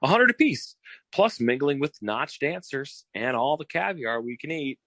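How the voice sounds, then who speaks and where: An actor playing a cocky, boastful, theatrical private detective in a 1940s style radio comedy, pitching himself in a showy, wheedling way. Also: male voice, lively intonation, moderate pace, bright, forceful tone, no room sound, clean studio sound. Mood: breezy, scheming. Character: cocky, theatrical fast-talking male private detective eager for partnership.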